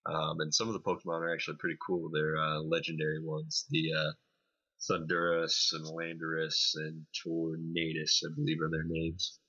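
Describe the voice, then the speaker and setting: Deep husky guy chatting game reviews on a podcast, kinda halting, slightly muffled audio. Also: monotone, sharp tone. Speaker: podcast co-host reviewing games. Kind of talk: casual video game review chat.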